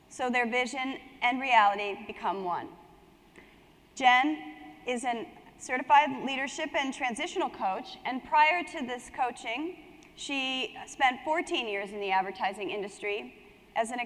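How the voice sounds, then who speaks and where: Female panel moderator, slightly high voice, live event delivery, recorded in a big echoing hall. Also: a bit bright. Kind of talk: hosting a live panel, partly reading introductions from a script. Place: indoor conference hall on stage. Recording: echoey hall, long reverb.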